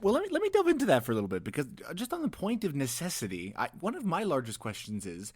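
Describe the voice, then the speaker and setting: A man's voice: fairly high pitch, wildly swooping pitch, fast, slight background noise. A young man co-hosting a casual chat show in a playful, teasing way. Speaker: podcast co-host.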